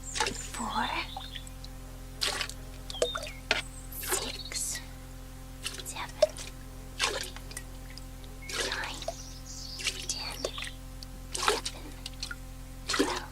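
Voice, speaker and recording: An excitable schoolgirl played by a woman speaks in a very high, wildly swooping voice. She is eager, headstrong and dramatic, as in a children's audiobook drama, with music underneath. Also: exaggerated voice. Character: bored, impulsive, excitable schoolgirl dabbling in magic.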